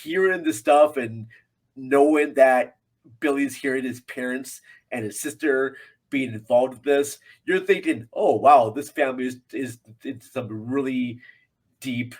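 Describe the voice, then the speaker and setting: A man's voice: mid-range voice, a little slow, breathy edge. Rambling, jokey man chatting about film. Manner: rambling, jokey, self-deprecating. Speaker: podcast guest, film reviewer. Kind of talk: casual film discussion.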